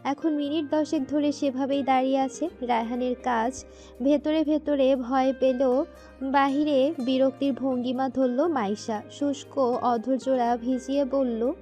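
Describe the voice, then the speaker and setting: A woman's voice: high-pitched, steady tone, unhurried, mellow, faint music behind. A woman narrating a scripted romantic story.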